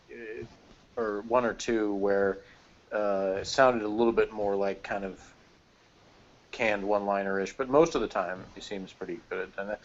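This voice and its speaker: A man chatting casually as a guest reviewer, rambling and hesitant, picking through his thoughts in a measured way. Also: fairly low pitch. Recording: muffled lo-fi call audio.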